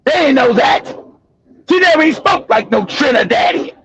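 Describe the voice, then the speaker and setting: A man with a strikingly high voice and a rough, gravelly edge rants at full volume, full of mockery and swearing. His heated commentary comes through dull, lo-fi stream audio. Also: animated, moderate pace. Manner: loud, profane, mocking ranting. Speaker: show host.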